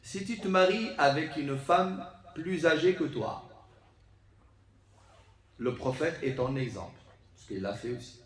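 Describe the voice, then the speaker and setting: A man gives a mosque sermon in a fairly high voice. He is heard in a very roomy, echoing space, a little away from the microphone. His delivery is emphatic and rhetorical, leaning on repetition to drive each point home. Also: expressive, unhurried, pauses often. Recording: roomy echo, distant microphone.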